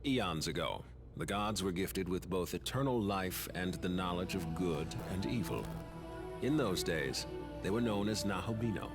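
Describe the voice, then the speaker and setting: An actor playing a calm, formal male official in a game, speaking in a measured, composed way. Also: low-pitched, music in the background. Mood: grave.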